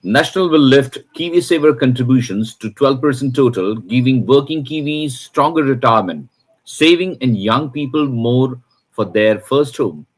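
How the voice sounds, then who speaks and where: A man's voice: medium pitch, animated, moderate pace, rough recording. A man presenting a breaking news item, reading a scripted announcement.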